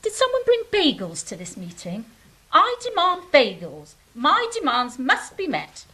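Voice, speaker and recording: Woman as flippant angel, high voice, lively swings, husky edge, wry, petulant, audio drama. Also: measured pace, exaggerated voice, rough recording. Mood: petulant, bored. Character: flippant, restless, ever-hungry young angel named Monogamy. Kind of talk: comic audio drama acting.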